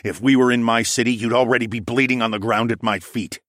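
A man voicing a menacing, quick-tempered dragon-shifter lord in an audiobook, growling and scornful. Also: mid-range voice, steady tone, a bit bright, no room sound, studio quality, pitched above the performer's own voice, close to an everyday voice.

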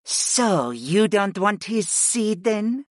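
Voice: medium pitch, lively intonation, slow, no room sound, clean studio sound, voice pushed up. A narrator voicing a devoted, lovesick goddess with a sulky streak in a fantasy audiobook. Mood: sulky longing.

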